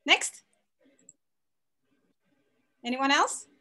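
A high-pitched woman leads a video call from her home. She is bubbly, laughs often and sounds like a laptop webcam mic. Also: expressive, extremely slow, bright, forceful tone. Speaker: host and Uzbek teacher. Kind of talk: hosting a video call event. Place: home room with flags.

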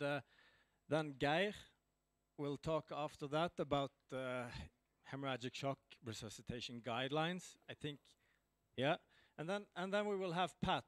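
A man's voice: on the high side, a bit bright, dry recording. A man in his thirties with a Norwegian accent, speaking English as he informally outlines a conference session. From Norway. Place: conference room before an audience. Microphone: handheld microphone held close.

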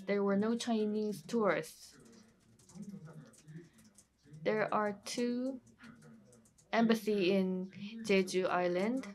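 Slow-speaking woman with plain non-native English. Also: mid-range voice, a little mellow. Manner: slow, simple non-native English with small grammar slips. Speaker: ambassador panelist. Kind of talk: closing remarks. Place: conference stage.

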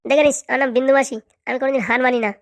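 Woman voicing a brash, high-pitched cartoon jailbird aunt. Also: flat delivery, racing, no room sound, lo-fi sound. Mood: defiant. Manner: scolding, threatening, slangy. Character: brash boastful older jailbird aunt, serial escaper, short-tempered. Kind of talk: Bengali comedy cartoon dialogue.

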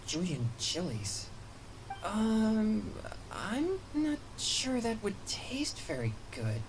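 A woman's voice: mid-range voice, very animated, drawn-out words, noisy background. A woman voicing an earnest teenage boy exorcist in a game, polite, hesitant and easily flustered. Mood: hesitant, puzzled.

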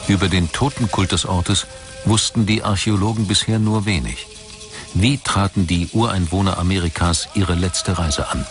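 A man with a low voice narrates a documentary. His delivery is scripted, measured and formal, like formal storytelling. Music plays clearly behind him. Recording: music bed under voice. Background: background music.

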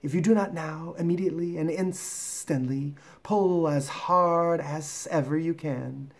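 Man as a pompous, deliberate python. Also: a little high, voice pushed up. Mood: pompous, advising. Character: pompous, long-winded bicolored python rock snake.

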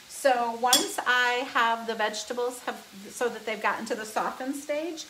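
Bright chatty woman cooking at home. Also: fairly high pitch, slight background noise, poor audio. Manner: chatty and upbeat, explaining steps while cooking. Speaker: home cook hosting a live cooking stream. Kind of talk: casual cooking demonstration. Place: home kitchen, indoors. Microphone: phone camera, often held close, sometimes across the kitchen. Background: sizzling pan.

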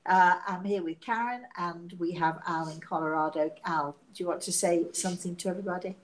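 Host, sixties woman, raspy sick voice, warm rambling chatter, home tablet mic. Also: lowish voice, brisk, a little hiss or hum, poor audio. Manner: chatty, laughing, self-mocking. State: hoarse from illness, coughing. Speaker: psychic medium hosting a live show. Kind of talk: casual live-stream chat. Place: living room at home. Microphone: device camera at arm's length.

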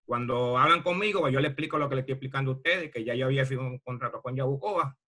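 A man's voice: mid-range voice, very fast, pushed and bright. A middle-aged man from Puerto Rico with a Puerto Rican accent, reminiscing casually on a video call as a retired ballplayer. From Bayamón, Puerto Rico. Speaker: retired baseball player, interview guest. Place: video call from a room at home.

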